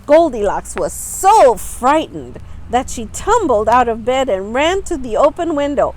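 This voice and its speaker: A woman reads a picture book aloud to children in a fairly high, very animated voice. She takes her time over the phrases and speaks expressively, as a storytime reader does. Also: a little slow, poor audio. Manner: expressive, with simple asides to young listeners.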